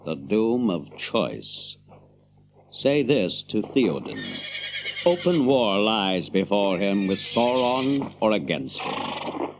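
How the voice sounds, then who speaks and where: An actor playing a proud, commanding ranger and heir to a throne in a fantasy radio drama, with grave, declamatory delivery. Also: male voice, fairly low pitch, a little hiss or hum, full character voice.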